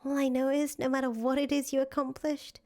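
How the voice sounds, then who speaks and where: A woman's voice: sits high, flat delivery, brisk, darkish tone, lightly acted. A woman voicing a patient, loyal young woman in a visual novel, reassuring and casually sweary. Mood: tender, proud. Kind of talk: voiced reading of visual novel dialogue. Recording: music playing under the voice.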